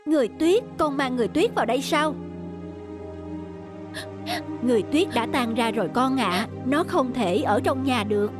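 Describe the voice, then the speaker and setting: A woman voicing a gentle, comforting mother of a small girl in a children's cartoon. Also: high-pitched, lively intonation, moderate pace, pauses often. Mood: concerned. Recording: loud music behind the voice.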